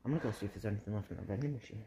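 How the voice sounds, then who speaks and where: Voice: mid-range voice, racing, creaky, heavy background noise. Explorer, bold and teasing, off camera in a derelict building. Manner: bold, teasing, dismissive of danger. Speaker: exploring companion. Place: derelict brick building, indoors.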